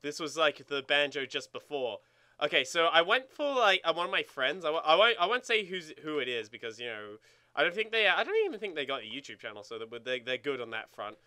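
A man with a very bright, forceful voice banters over a video game, clear and closely miked with a dry sound. He is casual, sweary and joking, repeating phrases for emphasis. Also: a little high, sing-song, fairly quick, dry recording. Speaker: let's play co-host and gamer.

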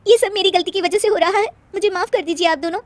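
A woman's voice: very high-pitched, fast, voice pushed up. A woman voicing an earnest young daughter-in-law in a Hindi cartoon moral story, insistent and emphatic. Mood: apologetic.

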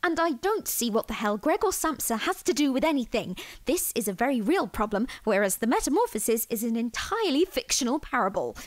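A woman's voice: on the high side, sing-song, a little fast, hardly any pauses, no echo. A woman playing an anxious, melodramatic young student in an audio drama, prickly, squeamish and sarcastic. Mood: panicked.

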